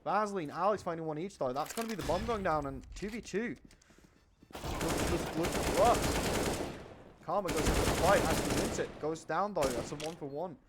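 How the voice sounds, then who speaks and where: Excitable man casting a shooter game. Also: moderate pace, heavy background noise. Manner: rises in pitch and volume during fights, calm between rounds. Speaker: esports caster. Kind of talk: live play-by-play commentary. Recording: game gunfire audible behind.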